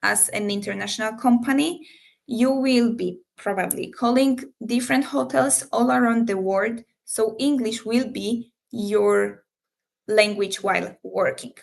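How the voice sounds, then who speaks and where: A woman recruiter hosting a live question-and-answer session, explaining job details in an unhurried way with frequent fillers and slightly non-native phrasing. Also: a little high, creaky.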